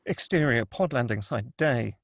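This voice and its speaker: A man's voice: medium pitch, expressive, moderate pace, vocal fry, no echo, clean studio sound. A man reading stage directions as dramatic scripted narration at a table read.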